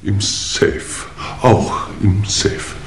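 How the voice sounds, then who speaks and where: An actor playing a pompous, shaken English lord in a 1950s German radio crime play, theatrical, with hesitant pauses. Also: male voice. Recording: old noisy 1950s radio recording.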